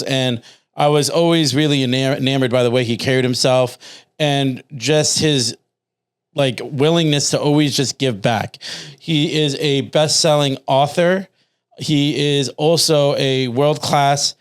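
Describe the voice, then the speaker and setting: A man hosts a casual podcast interview from a studio. His voice is smooth and clear at a middling pitch. He speaks informally and effusively, in halting phrases. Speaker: podcast host.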